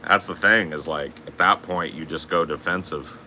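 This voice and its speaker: A man with a booming voice, telling stories in a casual, profane podcast chat. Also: moderate pace, sharp tone, a little hiss or hum. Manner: profane, storytelling. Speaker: podcast host.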